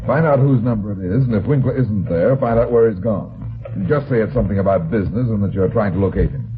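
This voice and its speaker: An actor playing a blunt, sardonic, middle-aged private detective in an old-time radio drama. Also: male voice, fairly low pitch, fast, natural voice. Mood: urgent, commanding. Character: tough, unflappable male private detective. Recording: vintage radio, muffled narrow band, noisy.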